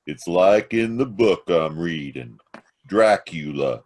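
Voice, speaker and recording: A man acts out a sullen farmhand in an old-time radio drama. His voice is very low and he speaks slowly, plain and deliberate. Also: animated, a little mellow, heavily acted. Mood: ominous, absorbed. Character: sullen, deliberate young farmhand Hank under questioning.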